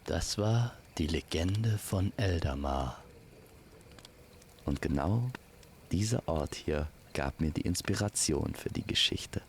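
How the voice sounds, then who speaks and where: Guy reading a fairy tale, real slow n deep, talks to u like a friend, bit of background noise. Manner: addresses the listener intimately as a friend. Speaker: storyteller. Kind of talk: scripted fairy-tale narration for an audio story.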